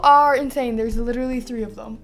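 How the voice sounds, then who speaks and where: A woman's voice: on the high side, fairly even intonation, fairly quick, a bit bright, faint music behind. A young woman, the host's sister, teasing playfully as she barges into the recording.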